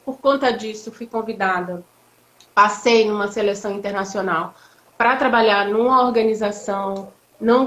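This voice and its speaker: A woman, a law professor talking reflectively with many fillers and restarts in a live online interview. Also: medium pitch. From Bahia, Brazil. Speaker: law professor, guest speaker. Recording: echoey, dull lo-fi audio.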